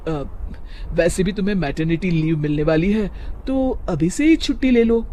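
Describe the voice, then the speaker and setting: A man's voice: high voice, moderate pace, warm tone, a little hiss or hum, lightly acted. A man voicing a harried young husband in a cartoon story, hesitant and persuading.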